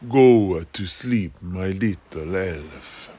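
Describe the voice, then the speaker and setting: A man performs a coolly confident seafaring explorer with a deep voice. He taunts politely and takes his time over each phrase, as in a fantasy radio play with slight background noise. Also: expressive, full character voice. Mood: taunting. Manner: measured, taunting, repeats words. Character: smug, coolly confident male explorer captain. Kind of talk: fantasy audio play acting.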